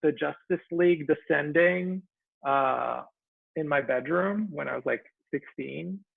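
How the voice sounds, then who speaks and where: A man of about forty from Israel, a guest talking in a casual chat, soft-spoken and halting, with many ums and trailing phrases. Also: a little high, gravelly, dry recording. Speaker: guest cartoonist. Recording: tinny dry call audio.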